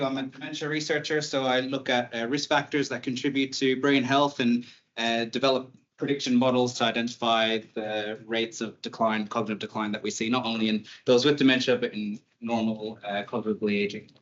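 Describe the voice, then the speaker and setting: Irish man giving a relaxed lecture. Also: mid-range voice, dull audio. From Ireland. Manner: conversational, with light self-deprecating humor and frequent fillers. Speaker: university lecturer. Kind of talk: online presentation talk.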